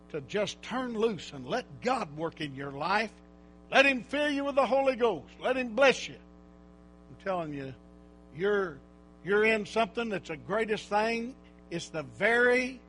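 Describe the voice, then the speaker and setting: A man preaching informally in church, rambling and folksy, breaking into loud exhortations. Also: sits high, slight background noise.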